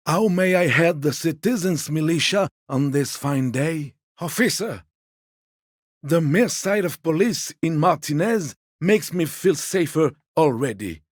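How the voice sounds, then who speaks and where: An actor voicing a gentle, sociable elderly man in a video game, courteous and wistful, teasing softly and taking his time. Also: on the high side, no echo, clean studio sound. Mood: courteous, obliging. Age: 70s or older. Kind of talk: video game character dialogue.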